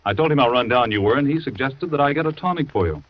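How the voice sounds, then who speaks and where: An actor playing a smooth, evasive, scheming husband in a 1940s radio drama, with a casual, offhand manner. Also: male voice, medium pitch, lively intonation, fast talker, no room sound, close to an everyday voice. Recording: dull lo-fi old radio recording.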